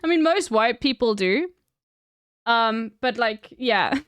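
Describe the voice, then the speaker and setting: A woman's voice: fairly high pitch, animated, slow pace, fairly bright tone, clean tone, dry recording. A woman with an English South African accent, chatting bluntly and swearing as she answers her live-stream chat. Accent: English-speaking South African.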